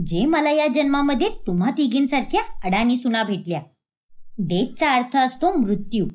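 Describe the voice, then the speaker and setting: A woman's voice: high voice, a little mellow. A woman voicing an exasperated, reproachful mother-in-law in a narrated family cartoon story. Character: scolding, exasperated mother-in-law of a village household.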